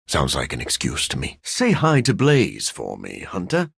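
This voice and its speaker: A man voicing a brooding, dryly sardonic scientist turned vampire in a video game, speaking formally with a husky edge. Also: rumbling low, huge pitch swings, moderate pace, dry recording, heavily acted. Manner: formal, learned, dry wit. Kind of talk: video game character voice acting.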